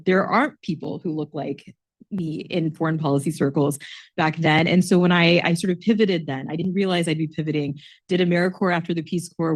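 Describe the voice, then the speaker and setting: A woman in her forties from Texas, a diplomat speaking on a panel, reflective and quick, with many fillers. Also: fairly low pitch. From Texas, United States. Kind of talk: panel discussion.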